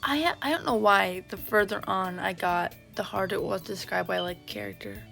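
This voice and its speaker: A woman's voice: medium pitch, fairly quick, vocal fry, fairly bright tone. A woman vlogger chatting casually and gushing like a fan in a rambling way, over background music. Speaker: YouTube vlogger. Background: video game background music.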